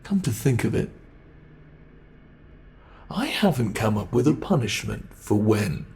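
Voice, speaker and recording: A man's voice: fairly low pitch, big pitch swings, a little mellow, slight background noise. A man with a British accent playing a cruel monster under the bed that mocks and toys with its trapped prey in a horror roleplay. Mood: menacing. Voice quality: gravelly, slow, drawn-out delivery. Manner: menacing, mocking, savoring. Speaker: ASMR roleplay performer.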